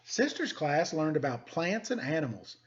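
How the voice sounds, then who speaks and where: A man's voice: a little high, very animated, moderate pace, lo-fi sound, a little hiss or hum. A man hosting story time, reading a picture book aloud to children with playful, self-deprecating, chatty asides.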